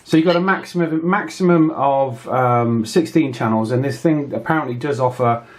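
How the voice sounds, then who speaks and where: Guy doing a laid-out-as-he-goes review video, mid pitched voice, stops and starts a lot. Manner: hesitant, unscripted, pausing often mid-phrase. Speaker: radio review channel host. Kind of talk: casual hands-on product review.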